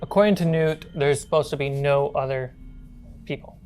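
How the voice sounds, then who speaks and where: A woman's voice: deep voice, steady tone, moderate pace, a little mellow, faint music behind. A woman acting as game master, narrating a tabletop horror game in an informal, descriptive storytelling way.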